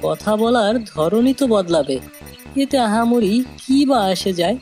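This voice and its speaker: A woman's voice: medium pitch, expressive, unhurried, darkish tone, faint music behind, close to an everyday voice. A woman playing a bold, curious village child in a cartoon, eager and scoffing at warnings. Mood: scoffing, determined. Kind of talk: animated moral-tale cartoon acting.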